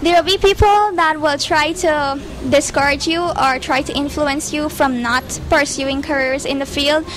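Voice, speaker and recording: A woman's voice: high voice, steady tone, moderate pace, hardly any pauses, fairly bright tone, soft background music, poor audio. An eighteen-year-old woman, answering interview questions earnestly into a handheld mic. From Guyana. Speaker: engineering student, interviewee.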